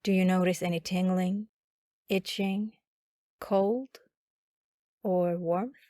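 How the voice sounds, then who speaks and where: A woman guiding a body scan meditation in a calm, instructional manner with long pauses. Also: lowish voice, dry recording, studio quality. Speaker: meditation guide.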